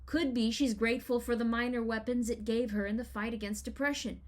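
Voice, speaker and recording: A woman in her thirties with an American accent, reading a scripted first-person essay in an expressive, wry storytelling manner. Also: fairly high pitch, a little fast. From Los Angeles, United States. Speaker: writer reading their own personal essay.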